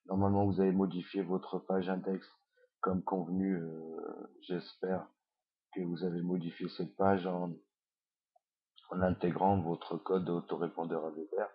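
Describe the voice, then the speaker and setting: Low, flat-voiced man with a cold. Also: measured pace, breathy edge, dull audio. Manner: hesitant, many fillers. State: has a head cold. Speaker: online course instructor. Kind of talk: screencast tutorial.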